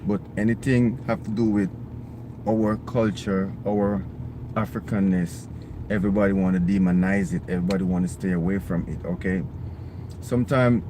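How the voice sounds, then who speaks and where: Man, low voice, earnest, emphatic, asks "right?" often, loud street traffic behind. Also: steady tone, normal speed. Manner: earnest and emphatic, with constant "right?" and "okay?" tags. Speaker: vlogger. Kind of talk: live-streamed monologue. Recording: loud outdoor traffic noise.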